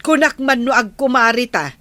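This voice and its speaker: Woman playing a high-voiced, huffy Ilocano villager. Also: normal speed, sharp tone, dry recording. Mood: miffed. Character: vain, quick-to-huff village woman in comedy. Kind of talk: comic radio-drama acting.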